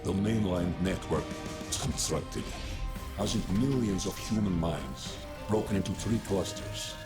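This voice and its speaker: An actor playing a solemn storyteller, narrating in a grave, measured, portentous way as in a cinematic game. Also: male voice, low voice, lively intonation. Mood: grave, urgent. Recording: loud music under the voice.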